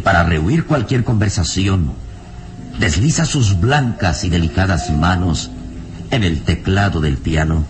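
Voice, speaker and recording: A male narrator in a vintage radio serial reads dramatically. His low voice rises and falls expressively. Music is clearly audible behind him.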